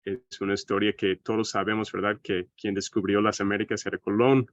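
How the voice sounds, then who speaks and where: A man's voice: fairly low pitch, little pitch movement, brisk, no room sound. American guy lecturing in spanish, thoughtful, keeps asking little questions, dull lo-fi call audio. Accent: American English. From United States. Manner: thoughtful, often tags phrases with a questioning aside. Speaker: seminar speaker. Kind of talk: online lecture presentation.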